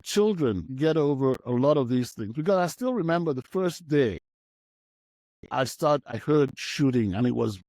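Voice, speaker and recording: A man in his sixties, a radio broadcaster from Nigeria, reminiscing in a relaxed conversational way, often repeating and restarting phrases. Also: medium pitch, moderate pace, no room sound. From Nigeria, childhood partly in Uganda.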